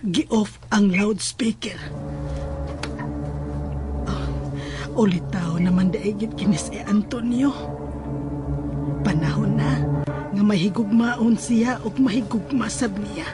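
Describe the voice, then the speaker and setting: A woman with a Filipino accent playing a watchful, inquisitive mother of a grown son in an audio drama. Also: medium pitch. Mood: musing, meddling.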